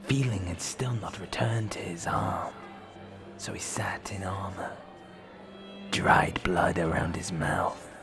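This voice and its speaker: A man narrating a scripted fantasy audio drama in a measured storytelling manner. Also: sits low, in a whisper, music in the background.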